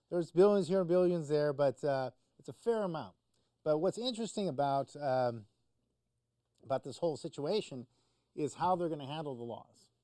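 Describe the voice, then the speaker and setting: A man's voice: fairly high pitch, dark tone, no room sound. A man explaining the news conversationally as a talk show commentator, in a hesitant, informal way.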